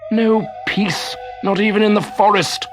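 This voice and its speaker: A man's voice: sits high, steady tone, a little slow, over music, pitched above the performer's own voice. A man voicing a grumpy, cunning old wizard in a storybook reading. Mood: grumpy, irritated. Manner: muttering, grumbling, wheedling.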